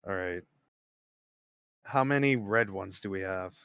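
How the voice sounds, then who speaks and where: A man's voice: low voice, a little slow, a bit bright, smooth, no room sound, clean studio sound. A man chatting casually as a player in a tabletop role-playing game, informal and jokey.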